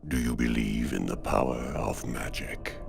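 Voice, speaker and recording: A man voicing a sinister, mysterious sorcerer in a video game, speaking in a formal, theatrical manner with a gravelly voice. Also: moderate pace, heavily acted. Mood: ominous. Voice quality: very deep, gravelly. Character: sinister mysterious stranger, male sorcerer quoting Shakespeare. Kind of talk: dramatic video game acting. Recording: loud music over the voice.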